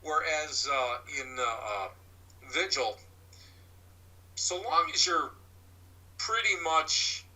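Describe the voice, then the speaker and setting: A man with a loud voice, answering interview questions about his paranormal work in a rambling way, full of restarts and fillers. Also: a little high, lively intonation, slow pace, long pauses, rough recording. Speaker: paranormal investigator and medium. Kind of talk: interview guest, casual explaining.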